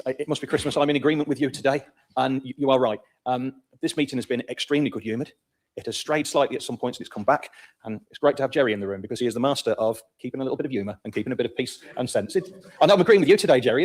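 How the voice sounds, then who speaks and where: Very fast-talking, good-humoured male councillor. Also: mid-range voice. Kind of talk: council meeting speech.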